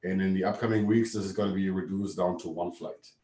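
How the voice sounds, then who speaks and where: A man with a German accent, giving a brisk, matter-of-fact business presentation on a video call. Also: deep voice, fairly even intonation, warm tone. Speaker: webinar presenter. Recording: echoey room, distant mic.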